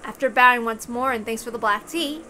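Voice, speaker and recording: A woman's voice: a little high, fairly quick, pushed and bright, a little hiss or hum. A woman reading a visual novel aloud and adding casual commentary of her own.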